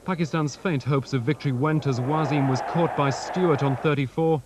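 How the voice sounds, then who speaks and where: A man's voice: fairly high pitch, fairly even intonation, normal speed. A man narrating a scripted sports news report over outdoor background noise. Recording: loud outdoor noise behind voice.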